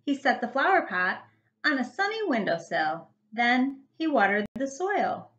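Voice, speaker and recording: A woman who teaches first grade reads a picture book to young children in an animated, emphatic storytelling manner. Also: sits high, measured pace, dull audio.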